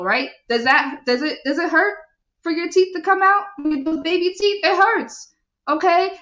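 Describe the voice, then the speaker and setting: Animated woman host, echoey lo-fi sound. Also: sits high. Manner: animated, preachy, asks the audience questions. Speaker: show host. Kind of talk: live show talk reading viewer comments.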